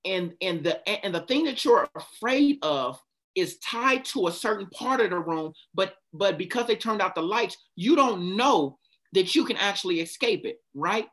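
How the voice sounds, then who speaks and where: A man's voice: high voice, expressive, moderate pace, fairly bright tone. A man giving a passionate motivational talk, stopping often to ask his listeners questions. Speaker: speaker leading a group talk.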